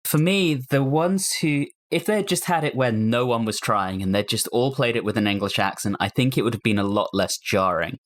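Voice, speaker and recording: A man's voice: medium pitch, normal speed, few pauses. A man hosting a casual panel discussion podcast, talking conversationally with fellow hosts. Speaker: podcast host.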